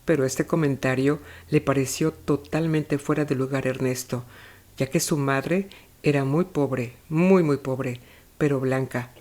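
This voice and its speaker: Narrator for a literary retelling: medium-pitched voice, measured, scripted storytelling delivery with deliberate pauses. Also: fairly even intonation, a little mellow. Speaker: narrator of a book review.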